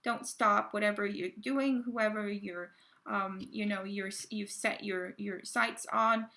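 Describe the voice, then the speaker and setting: A woman with a bright, gravelly voice talks through a tarot reading for her viewers. She stops and starts often and fills gaps with fillers. A cold leaves her sounding a little raspy. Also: medium pitch, steady tone. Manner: chatty, halting, many fillers and restarts. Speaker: tarot card reader. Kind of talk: casual tarot reading to camera.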